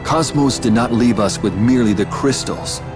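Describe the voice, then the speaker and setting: An actor playing a solemn, resolute noble warrior in a fantasy video game, with a grave, formal manner. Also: male voice, medium pitch, moderate pace, over music, lightly acted.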